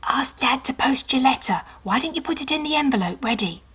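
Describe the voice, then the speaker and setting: A woman's voice: high-pitched, expressive, a little fast, a bit bright, husky, pitched above the performer's own voice, exaggerated voice. A woman narrator plays a kindly, busy mum in a children's storybook. Her delivery is lilting and coaxing, addressed to a small child. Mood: hurried, hushed. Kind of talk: children's storybook read-aloud.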